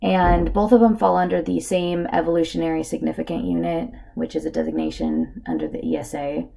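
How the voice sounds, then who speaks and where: A woman with a hoarse voice from a cold gives a casual narrated slideshow presentation, with lots of filler words. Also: fairly low pitch, expressive, a little fast, warm tone. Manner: casual, with many filler ums. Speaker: student presenter.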